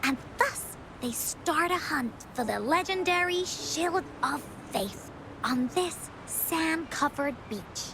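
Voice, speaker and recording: An excitable little girl adventurer for an anime game. The voice is tiny and very high, leaping about playfully yet taking its time, with clear rumbling noise behind it. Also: heavily acted. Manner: playful, theatrical, refers to herself by name. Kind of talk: anime video game voice acting.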